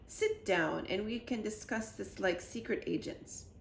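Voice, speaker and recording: A woman voicing a smug, calm male spy villain from a children's storybook, measured and condescending. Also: mid-range voice. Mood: suave, feigned innocence. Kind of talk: children's storybook read-aloud. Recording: roomy echo with clear background noise.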